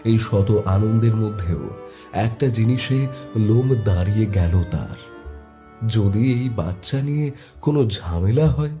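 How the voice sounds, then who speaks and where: A man narrating a scripted horror story in a dramatic, measured way with weighty pauses. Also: fairly low pitch, lively intonation, music in the background.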